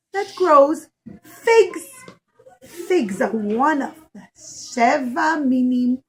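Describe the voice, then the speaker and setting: A woman voicing an excited, caring young girl in a puppet show, speaking earnestly to small children. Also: sits high, slight background noise, heavily acted, rough recording.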